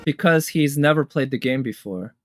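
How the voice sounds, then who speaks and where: A man's voice: mid-range voice, moderate pace. A man giving casual, enthusiastic reaction commentary as a host, thinking aloud as he goes. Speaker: host of a game trailer tier list. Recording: music behind the voice. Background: game trailer music.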